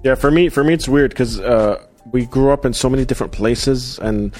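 A man's voice: medium pitch, a little fast, a little mellow, soft background music. A man who grew up in Australia, hosting a casual livestream chat, talking loosely with plenty of fillers and restarts. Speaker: host.

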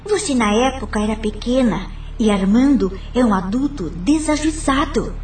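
A woman acting as an outspoken daughter in a radio play, her voice fairly high. She is blunt, protective and insistent, sounding distant in an echoey room with music behind. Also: expressive, normal speed, a little mellow, close to an everyday voice. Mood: insistent, disapproving.

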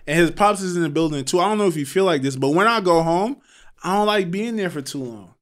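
A man from Trinidad who moved to New York as a teen, hosting a casual podcast interview and talking in a relaxed, chatty way. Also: high voice, fast talker. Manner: laid-back, chatty, often trails off. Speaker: podcast host.

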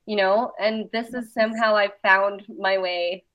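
A woman chatting casually about creative work, talkative and animated in her storytelling. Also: mid-range voice, measured pace. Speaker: artist and interviewer. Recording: dull lo-fi sound.

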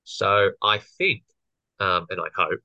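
A young man with a slightly croaky voice, co-hosting a casual political podcast and explaining legal points. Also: deep voice, slow pace, pushed and bright, no room sound, lo-fi sound. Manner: explanatory, lawyerly. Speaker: podcast co-host.

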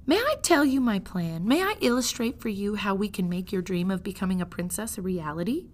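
Woman acting as scheming gentleman rat, storybook character, flowery manners, flattering, lively melodic delivery. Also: medium pitch, a little fast, natural voice. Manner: formal, flowery, polite phrasing.

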